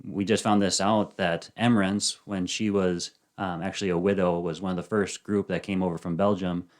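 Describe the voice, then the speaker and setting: A man in his thirties, a podcast guest chatting casually and softly, with frequent ums and uhs. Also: low-pitched, flat delivery, normal speed. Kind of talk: casual interview chat.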